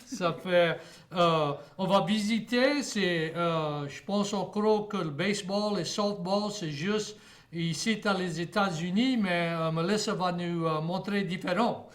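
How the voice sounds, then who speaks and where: Slow Cajun French man, high voice. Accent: Louisiana Cajun French. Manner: halting, many fillers and restarts. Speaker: host. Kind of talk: broadcast interview.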